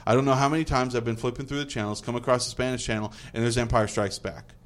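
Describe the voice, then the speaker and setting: A man's voice: mid-range voice, fairly even intonation. Young dude podcast host, rambling chat, trips over his words a lot. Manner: rambling, halting, many restarts.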